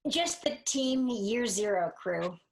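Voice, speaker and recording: A woman's voice: medium pitch, lively intonation, slow pace, creaky, a little hiss or hum, poor audio. A woman playing a friendly, matter-of-fact young woman in an audio drama, speaking casually. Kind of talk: conversational audio drama acting.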